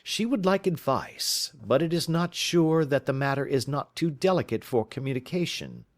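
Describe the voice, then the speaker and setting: A man voicing a keen, lofty male detective in an audiobook, crisp and lecturing in manner. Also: mid-range voice, big pitch swings, moderate pace, dry recording, clean studio sound, voice pushed up, lightly acted. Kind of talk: audiobook character reading.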